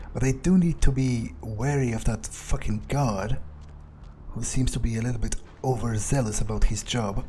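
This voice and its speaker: A man's voice: mid-range voice, warm tone, lightly acted. A man playing a wary, foul-mouthed vampire in a game playthrough, thinking aloud in a hesitant mutter. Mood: wary, scheming. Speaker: let's player. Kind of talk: in-character gameplay commentary, thinking aloud. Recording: noticeable background noise.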